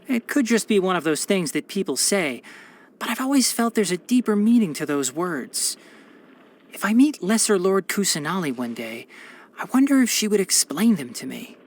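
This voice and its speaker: A man's voice: high voice, sing-song, normal speed, exaggerated voice. A man voicing a brainy, caring young fox-eared forest watcher in a video game, articulate and bookish with a dryly sardonic manner. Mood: thoughtful. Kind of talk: scripted video game character voice lines.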